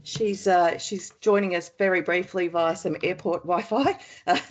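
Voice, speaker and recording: An Australian woman opens an online forum, her voice husky from a head cold. She talks in a chatty, loose way, full of ums and uhs. The sound is a little dull, as on a video call. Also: fairly low pitch, fairly even intonation, measured pace, a little hiss or hum. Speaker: webinar chair. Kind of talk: webinar opening remarks. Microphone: computer mic on a video call.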